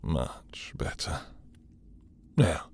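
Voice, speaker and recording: A man playing a possessive, dominant vampire lord in intimate roleplay audio, with a husky, breathy voice and a teasing, seductive, condescending manner. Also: drawn-out words. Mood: teasing, smug. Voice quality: husky, breathy, dropping into whispers.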